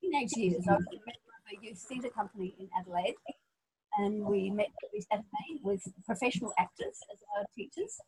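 Husky woman raised in Australia. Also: mid-range voice, expressive, a little fast, a little mellow, poor audio. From Scotland, raised in Australia. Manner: thoughtful, with frequent ums and restarts. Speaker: guest. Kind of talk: casual interview conversation.

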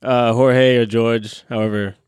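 A man's voice: medium pitch, little pitch movement, a little slow, noisy background. A man chatting casually with friends about his work, easygoing and laughing as he tells stories. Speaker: guest. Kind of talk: casual group chat.

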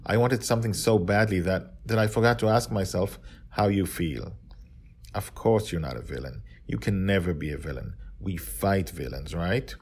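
A man's voice: sits low, normal speed, a little mellow, slightly rough. A man playing an earnest father in a storybook tale, stern but loving toward his young daughter. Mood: tender, apologetic. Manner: earnest, parental.

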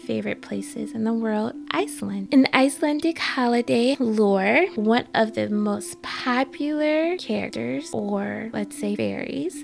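A woman hosting a podcast, slightly hoarse from a cold, telling folklore in a chatty way with quick asides. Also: medium pitch. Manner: chatty, reads facts then adds quick asides. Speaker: podcast host. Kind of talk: casual folklore storytelling.

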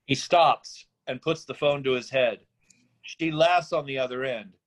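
A man's voice: mid-range voice, a little slow, low-quality recording. A man narrating a screenplay, reading out stage directions as scripted narration.

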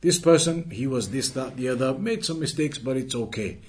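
A man's voice: mid-range voice, lively intonation. Man teaching a religious lesson. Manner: explains by rhetorical questions, switches into fluent quick Arabic recitation. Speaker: Islamic teacher. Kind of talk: classroom lesson explaining texts.

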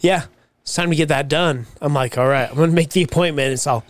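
A man's voice: on the high side, fast, no echo. A man in his forties, co-hosting a podcast in casual, profane, laughing banter. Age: 40s. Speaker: podcast co-host.

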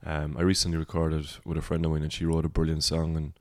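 This is a Cork Irish man with a deep baritone voice that sits very low. His delivery stays level, with little rise and fall. He sounds reflective and wanders through his thoughts in a casual podcast chat, recorded close with a dry sound. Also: monotone, quick pace, no echo. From Cork, Ireland. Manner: reflective, rambling. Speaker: singer-songwriter guest.

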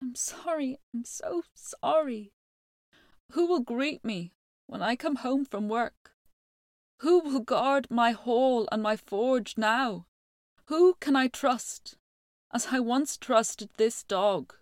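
A woman's voice: fairly high pitch, slow, no room sound, clean studio sound, pitched above the performer's own voice. A woman voicing a big grieving smith who laments the loss of his dog. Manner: broken, lamenting.

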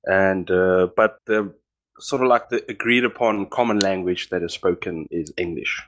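Low-voiced South African man, halting. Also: fairly bright tone, a little hiss or hum. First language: Afrikaans. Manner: halting, with frequent restarts. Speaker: guest. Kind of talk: casual podcast chat.

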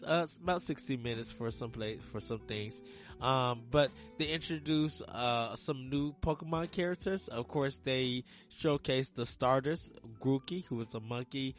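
A man hosting a casual solo gaming podcast, a little hoarse from a sore throat, rambling loosely with many ums and uhs. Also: mid-range voice. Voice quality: raspy. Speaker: podcast host.

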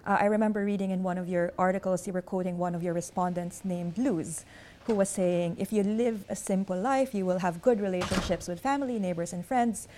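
Female interviewer for an academic conversation, soft dark tone, fairly low pitch, measured. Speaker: interviewer, host.

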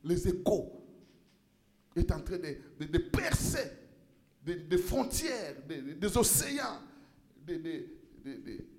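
A male pastor preaching a sermon in a church, impassioned, rising to shouts and stumbling over repeated words. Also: fairly high pitch, big pitch swings, slow pace, long pauses, reverberant.

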